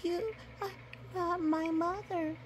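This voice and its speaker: Woman voicing a tiny, timid, high-pitched bear cub. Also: fairly even intonation, takes it slowly, slight background noise. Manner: hesitant, pleading. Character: timid frightened young polar bear cub.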